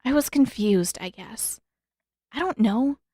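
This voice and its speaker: A woman reads as an earnest teenage girl in a story. Her voice sits fairly high and moves expressively, with hesitant, conflicted phrasing. The sound is dry and close. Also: expressive, unhurried, warm tone, husky, dry recording. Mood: hesitant, subdued. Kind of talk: fan fiction audiobook dialogue.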